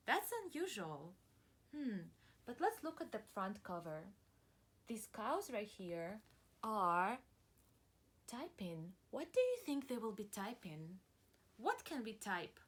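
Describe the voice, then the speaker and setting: A woman teacher reading a picture book aloud to preschoolers, stopping to ask questions and encouraging the listeners in a deliberate, dramatic way. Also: medium pitch, fairly bright tone, breathy edge. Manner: slow, deliberate and dramatic, stretching words, pausing to ask questions; encouraging, praising and addressing listeners as friends. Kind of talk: interactive picture-book read-aloud for young children.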